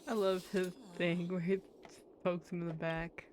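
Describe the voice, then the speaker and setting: A woman's voice: lowish voice, level tone, unhurried, noisy background. A young woman game streamer giving casual live commentary, muttering asides and blurting out reactions.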